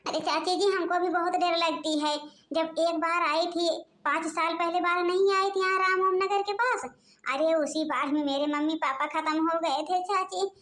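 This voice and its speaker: Woman acting a very high-pitched frightened young village wife. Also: normal speed. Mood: anxious. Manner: pleading, repetitive, excitable. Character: frightened young village daughter-in-law in a cartoon. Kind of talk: Hindi animated comedy dialogue.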